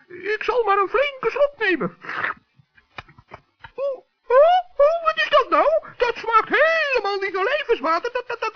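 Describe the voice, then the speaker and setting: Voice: very high voice, heavily acted. An actor playing a little forest gnome in a children's radio play, with an exclamatory, childlike, theatrical way of talking. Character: little old forest gnome, kindly, crafty, play-acting. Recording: dull lo-fi old radio recording.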